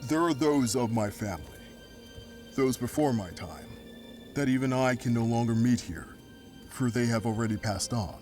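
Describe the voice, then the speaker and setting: A man's voice: medium pitch, moderate pace, a little mellow, faint music behind, close to an everyday voice. A man playing a noble, courteous ancient ghost guide in an audio drama, speaking in grave, formal, archaic phrases. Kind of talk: audio drama character acting.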